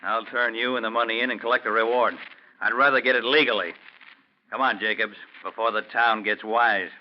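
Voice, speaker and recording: An actor playing a hard, greedy rural claim owner, blunt and folksy, in a 1940s radio drama. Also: male voice, on the low side, fairly even intonation, fairly quick, close to an everyday voice. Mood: hard, accusing. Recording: dull vintage 1940s radio recording.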